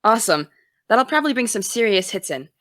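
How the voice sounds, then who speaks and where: A woman's voice: mid-range voice, big pitch swings, a little fast, a bit bright, dry recording, studio quality. A woman playing a driven, distracted college-bound young woman in an audio drama, speaking casually and wryly. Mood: wry, relaxed. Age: young adult. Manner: casual, wry. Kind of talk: naturalistic audio drama dialogue.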